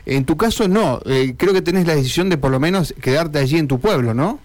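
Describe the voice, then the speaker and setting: A man's voice: a little high, animated, brisk, a little mellow. Argentine man hosting radio. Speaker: radio host. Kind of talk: radio interview.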